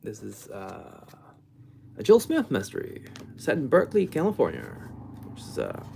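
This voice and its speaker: Male book livestreamer, gravelly and animated in intonation, unscripted, rambling, halting delivery. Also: mid-range voice, slight background noise. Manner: rambling, halting, self-correcting, trailing off. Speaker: book vlogger hosting a livestream. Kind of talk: casual unscripted livestream chat.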